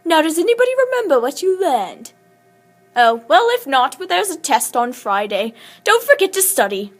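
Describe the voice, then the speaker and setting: A woman voicing an excitable puppet show host, presenting to an audience in a playful, exaggerated, cheery way. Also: high-pitched, moderate pace, soft background music. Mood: excited, cheery.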